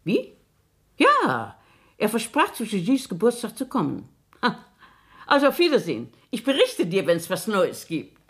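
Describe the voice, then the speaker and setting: A woman's voice: medium pitch, wildly swooping pitch, moderate pace. An actress in a German radio play voicing a shrewd, bossy old grandmother, emphatic and sardonic in a theatrical way. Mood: confidential, smug. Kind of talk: German radio drama acting.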